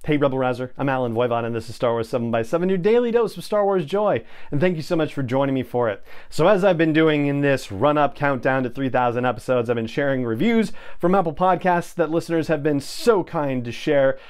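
A man's voice: on the high side, animated, a little fast, hardly any pauses, darkish tone, clean tone. A middle-aged man hosting a podcast, talking to his listeners in an upbeat, effusive way. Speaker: podcast host. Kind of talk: enthusiastic podcast monologue to camera. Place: small home studio room. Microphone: lapel mic.

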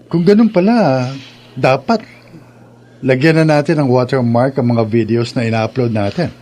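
A man's voice: medium pitch, moderate pace, a little mellow, natural voice. Earnest young Filipino man played by an actor. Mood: concerned. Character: earnest young male online content creator, devoted lover. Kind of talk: Filipino radio drama acting.

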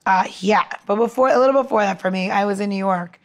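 Medium-pitched woman in casual banter. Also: fast talker. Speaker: podcast co-host, bartender.

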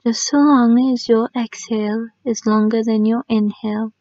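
A woman leading a guided sleep meditation in a soft, calming manner, often trailing off into a near-whisper. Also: fairly high pitch, normal speed, dry recording. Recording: dry, slightly muffled lo-fi sound.

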